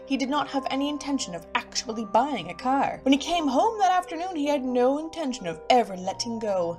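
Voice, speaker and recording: A woman's voice: a little high, lightly acted. Woman as ominous horror trailer narrator, over music. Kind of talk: dramatic scripted story narration. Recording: music behind the voice.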